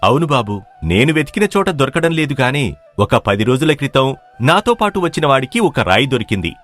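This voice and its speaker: Humble villager with swooping pitch. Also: male voice, sing-song, brisk, a bit bright. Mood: humble, resigned. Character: humble, hopeful male villager, long-struggling stone hunter. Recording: music playing behind the voice.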